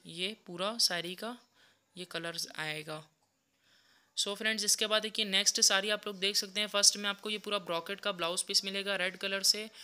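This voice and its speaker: A young man showing sarees in his shop, walking through each item with a steady, repetitive sales pitch while he films on a handheld phone. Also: clear, resonant tone. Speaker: saree seller. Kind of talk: product showcase sales pitch.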